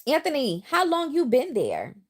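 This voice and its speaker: A woman's voice: high-pitched, normal speed. A middle-aged woman from the Bronx, now living in the South, chatting casually with viewers on a livestream and reading their comments aloud. Her New York accent has picked up some Southern twang. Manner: chatty, lively, talks straight to viewers. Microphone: phone.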